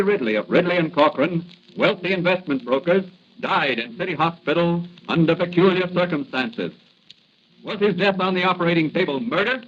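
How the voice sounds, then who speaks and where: A man's voice: high voice, slight background noise. Formal, dramatic old-radio narrator, man. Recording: muffled old radio recording.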